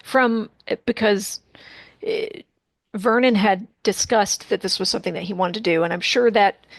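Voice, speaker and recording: A woman's voice: mid-range voice, steady tone, normal speed, creaky, dry recording. A woman interviewer chatting casually, circling informally and a little tentatively toward her questions.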